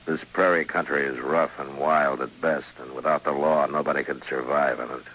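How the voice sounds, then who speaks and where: Radio western lawman: rock-bottom pitch, terse, weary, dutiful, slight vintage hiss. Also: steady tone, normal speed, a bit bright, dull audio. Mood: grave, reassuring. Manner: grave, terse, plain-spoken. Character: stern, weary, dutiful frontier marshal, loyal friend. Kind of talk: old-time radio western drama acting. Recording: noisy old radio drama recording.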